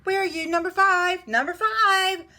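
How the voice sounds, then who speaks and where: A woman voicing an excitable, suspicious storybook mother duck who calls out dramatically. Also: very fast. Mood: frantic. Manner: shrill, dramatic calling.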